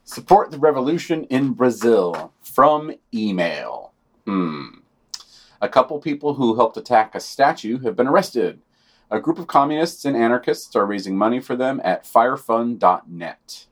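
A man's voice: mid-range voice, big pitch swings, moderate pace. A man co-hosting a podcast, reading scripted news items with dry, sardonic asides.

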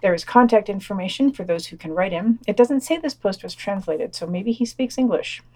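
A woman's voice: mid-range voice, expressive, a little fast, a little mellow. A woman presenting a podcast, reading news items and quoted statements from a script.

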